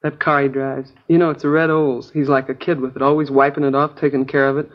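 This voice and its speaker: A woman's voice: a bass voice, level tone, fast. An actress playing a chatty, naive American girlfriend in a 1950s radio crime drama, casual, rambling and a little vague. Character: chatty, naive, easygoing working-class girlfriend of a crook. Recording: dull old radio broadcast recording.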